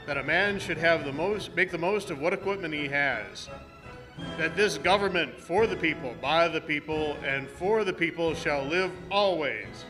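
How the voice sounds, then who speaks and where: A man's voice: fairly high pitch, normal speed, over music, lightly acted. A man playing an upright, earnest cowboy hero with a declamatory manner in an amateur radio play. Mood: solemn, earnest.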